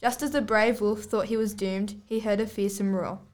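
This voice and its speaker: A woman's voice: mid-range voice, steady tone, normal speed, darkish tone. A girl of about eleven or twelve reading scripted storybook narration aloud as a student podcast host. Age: child, about 11 to 12.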